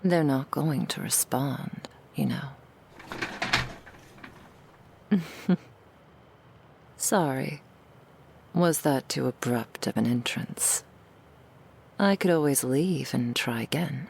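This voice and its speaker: A woman voicing a seductive, cruel female demon in an ASMR roleplay, in a slow, breathy whisper full of mocking, intimate taunts. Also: rumbling low, darkish tone, heavily acted. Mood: teasing.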